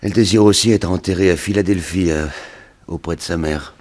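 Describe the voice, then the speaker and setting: A man's voice: low voice, brisk, darkish tone. A man playing a worn-out, mournful former captive in a hospital bed, hesitant and weary, in a 1960s French radio mystery. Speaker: actor in a radio serial.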